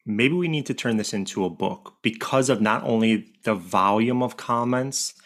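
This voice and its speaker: A man who works as a grief coach, telling stories in a relaxed, chatty way in a podcast interview and laughing easily. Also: fairly low pitch, normal speed. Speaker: grief coach and co-author guest.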